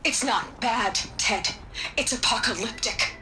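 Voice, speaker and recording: An actress playing a blunt, commanding scientist in a video game cutscene, cutting and stern. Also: female voice, on the high side, measured pace, pushed and bright, slight background noise. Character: blunt, steely female scientist, middle-aged.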